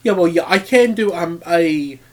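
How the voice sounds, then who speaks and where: A man co-hosting a podcast, halting and easily amused, often restarting his sentences. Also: on the high side. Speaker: podcast co-host. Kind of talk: casual podcast banter.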